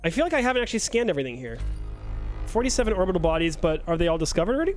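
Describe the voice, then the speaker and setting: Young male streamer, high voice, playful self-mocking banter with chat, faint game music underneath. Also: big pitch swings, very fast. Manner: joking, self-mocking, chatting with viewers. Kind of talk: casual live-stream chat while playing.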